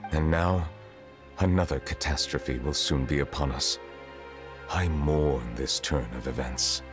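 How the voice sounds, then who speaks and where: Very deep, solemn dragon sovereign. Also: male voice. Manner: formal, measured, reserved, courteous. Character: dignified male water dragon sovereign in human guise, solemn. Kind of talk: English video game voice acting. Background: game music.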